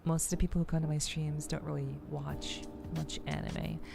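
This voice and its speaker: Woman vtuber just chatting on stream, low voice w fry, repeats herself while thinking. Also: level tone, normal speed, over music. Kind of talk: casual livestream chat.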